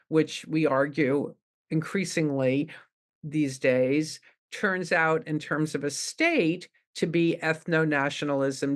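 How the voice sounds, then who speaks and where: A woman in her seventies from the New York suburbs, a rabbi telling her story in a thoughtful, wry way as a podcast guest. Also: low-pitched. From United States (New York suburbs). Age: 70s. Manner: thoughtful, laughs wryly while talking. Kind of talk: reflective conversational storytelling.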